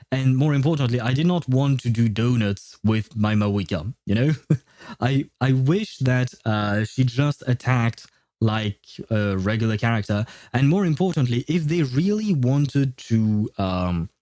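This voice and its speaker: A man's voice: mid-range voice, dark tone, no room sound, studio quality. A man chatting casually as a game livestream host, rambling and opinionated. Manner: rambling, opinionated, often chuckling. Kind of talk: casual gaming livestream chat.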